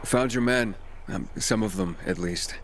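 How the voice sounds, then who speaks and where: An actor playing a grim, weary young survivor in a video game, blunt and understated. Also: male voice, lowish voice, measured pace, a bit bright, a little hiss or hum. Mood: somber.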